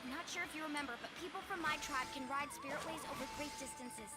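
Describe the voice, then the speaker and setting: A woman's voice: high voice, quick pace, close to an everyday voice. A woman voicing a breezy, upbeat, encouraging young surfer warrior in an anime-style video game. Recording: loud game music behind.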